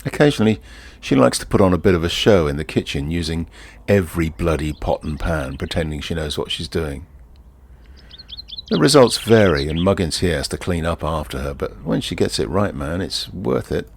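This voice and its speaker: An actor playing a wry, easygoing ordinary bloke who confides in the listener in a leisurely first-person monologue. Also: male voice, deep voice, animated, moderate pace, creaky, slight background noise. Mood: wry, amused. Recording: outdoor ambience with birdsong behind. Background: birdsong and outdoor ambience.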